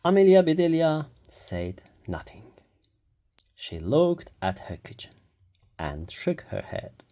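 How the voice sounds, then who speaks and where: A story reader giving a deliberate, expressive read-aloud of a children's storybook. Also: mid-range voice.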